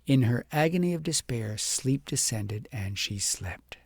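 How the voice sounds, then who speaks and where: A man reading a fairytale audiobook in a dramatic, deliberate storytelling manner. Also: mid-range voice, animated, husky, no echo, studio quality. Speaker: audiobook narrator. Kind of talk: expressive scripted fairytale narration.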